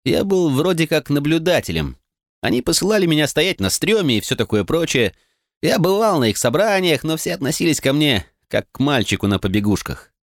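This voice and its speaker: A man's voice: fairly high pitch, dry recording, clean studio sound, voice pushed up. A man doing a modest streetwise young man. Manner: self-deprecating, eager. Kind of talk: audiobook character reading.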